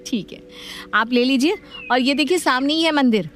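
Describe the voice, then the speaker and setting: High-voiced, polite young daughter-in-law, played by a woman. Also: a little slow, a bit bright, faint music behind. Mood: respectful, helpful. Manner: respectful, accommodating. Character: polite, practical, capable modern daughter-in-law. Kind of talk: animated family story dub.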